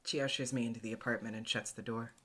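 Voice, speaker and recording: Male visual novel narrator, middle pitch, introspective, deliberate, hesitant, acted first-person reading. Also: steady tone, pushed and bright. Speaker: voice actor, narrator.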